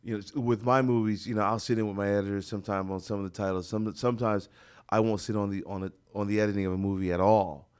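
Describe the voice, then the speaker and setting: A man's voice: lowish voice, steady tone, quick pace, smooth. A man with an American accent hosting a casual radio interview, joking and talking in loose slang. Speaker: radio talk show host. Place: radio studio.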